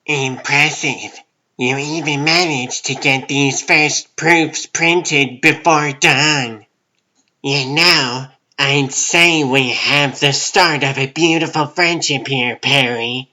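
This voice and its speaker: A man's voice: medium pitch, slow, sharp tone. A man playing a self-satisfied, approving business associate in a comic book dub. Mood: pleased.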